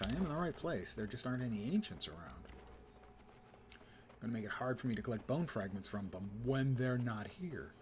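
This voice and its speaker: A man's voice: mid-range voice, slight background noise. A man casually commentating his video game live as a streamer, talking to himself in a wry way.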